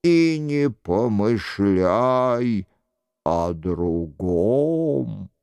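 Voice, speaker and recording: Мужчина-чтец озвучивает суровый, торжественный, глухой бестелесный голос, который требует покаяния. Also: male voice, on the low side, darkish tone, clear, resonant tone, no room sound, studio quality, pitched below the performer's own voice. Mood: wrathful, grave. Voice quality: hollow, muffled. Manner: slow, grave pronouncements. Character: stern, solemn, wrathful disembodied male voice demanding repentance.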